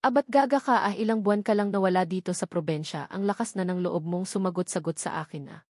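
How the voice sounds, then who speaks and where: A woman's voice: lowish voice, quick pace, a little mellow, no room sound, clean studio sound, lightly acted. A woman voicing a spiteful, contemptuous aunt in an audiobook, with a harsh, taunting, blunt manner. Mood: indignant. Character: spiteful, contemptuous aunt, scolding and insulting her niece.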